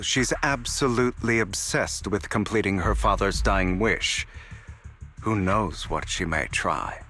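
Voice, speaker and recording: Game role: theatrical gentleman thief, lowish and crisp-bright, polished and eloquent, music underneath. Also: exaggerated voice. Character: suave, theatrical young male gentleman thief. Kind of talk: dramatic video game voice acting.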